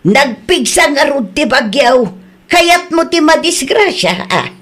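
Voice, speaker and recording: A woman's voice: high-pitched, unhurried. A woman playing a worried middle-aged village wife and mother who nags and pleads with her stubborn husband. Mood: scolding. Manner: nagging, pleading, scolding. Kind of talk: audio drama acting. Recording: rain and ambience noise behind. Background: steady rain.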